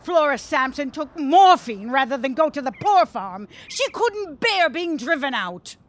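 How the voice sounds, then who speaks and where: An actress playing a scornful, righteous shopkeeper with a biting, sarcastic manner in a period audio drama. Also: female voice, high voice, very animated, a little slow. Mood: bitter indignation. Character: scornful, righteous married shopkeeper woman, bitterly outspoken.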